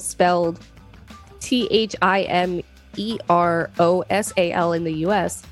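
A woman reading an encyclopedia article aloud as a scripted narration. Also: fairly low pitch.

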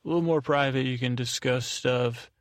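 A man hosting a podcast in a casual, meandering way, halting and restarting phrases as he goes, with a creaky, gravelly voice. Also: medium pitch, dry recording, clean studio sound. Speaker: podcast host. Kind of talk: rambling casual monologue with thank-yous.

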